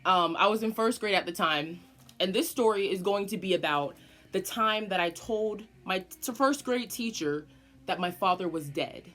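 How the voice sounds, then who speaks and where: Brief: eighteen-year-old woman vlogger, dramatic, swears a lot, mid pitch. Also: normal speed. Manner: animated, dramatic, swearing often. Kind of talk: casual storytime.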